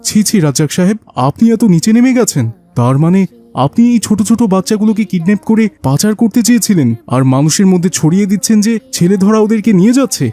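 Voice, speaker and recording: A man plays a concerned father in a moral cartoon. His voice is fairly high and mellow. He speaks earnestly, in a moralizing, indignant way. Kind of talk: Bengali Islamic cartoon dubbing.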